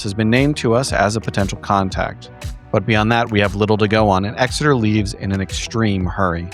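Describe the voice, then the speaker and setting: A man with a slightly low voice delivers a scripted horror recap. His storytelling is measured and dramatic. Soft music sits behind the words. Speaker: player and recap narrator. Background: background music.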